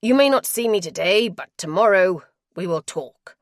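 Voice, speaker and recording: A woman's voice: mid-range voice, animated, normal speed, no room sound, clean studio sound, close to an everyday voice. A woman voicing a cunning husband who begs urgently not to be left. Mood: pleading. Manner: urgent, begging. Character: pleading, cunning husband, grown man. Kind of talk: audiobook character voice.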